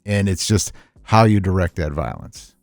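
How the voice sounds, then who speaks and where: A man's voice: low-pitched, normal speed, dry recording. A man hosting an unscripted podcast, talking casually in a rambling, opinionated way. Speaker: podcast host.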